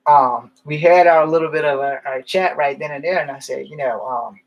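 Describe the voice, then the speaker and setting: A man's voice: on the high side, moderate pace, low-quality recording. A man rambling through candid stories in a casual monologue, swearing now and then. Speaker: vlogger.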